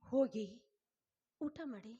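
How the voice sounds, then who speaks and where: An actress playing a dutiful young wife who speaks in a gentle, calm way. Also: female voice, fairly high pitch, slow, soft background music. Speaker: daughter-in-law. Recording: dull lo-fi sound.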